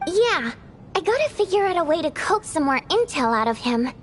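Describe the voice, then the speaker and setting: A very high, swooping voice of a young stage starlet, expressive and bossy in a video game story. Clear background noise sits behind her. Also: moderate pace. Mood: curious, secretive. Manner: expressive, exclamatory. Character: lively young female theater star, bossy and sharp-tongued.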